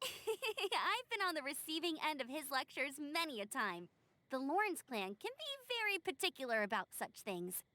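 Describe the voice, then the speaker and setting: A bright, upbeat young knight scout. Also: female voice, high-pitched, very animated, normal speed, no room sound, full character voice. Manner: upbeat, encouraging, chatty. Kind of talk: video game voice acting.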